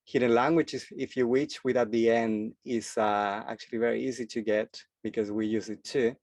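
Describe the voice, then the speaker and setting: A man's voice: medium pitch, steady tone, moderate pace, darkish tone, no room sound. A man from Chile, giving a presentation as a freediving instructor and speaking hesitantly with many filler sounds. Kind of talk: forum presentation talk.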